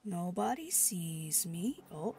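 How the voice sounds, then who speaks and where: A woman's voice: fairly low pitch, very animated, slow pace, warm tone, slight background noise. A young woman with a stuffy cold, rambling sleepily and full of fillers while playing a video game. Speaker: gaming streamer. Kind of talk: casual let's-play commentary.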